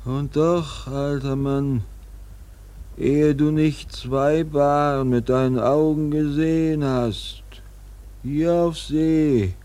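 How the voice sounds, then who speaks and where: A man's voice: a little high, mellow. A man playing an eerie, solemn seer in a radio drama, speaking like an oracle. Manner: slow, solemn, oracular. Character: eerie, solemn male seer and harpooner prophesying. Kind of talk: German radio play acting.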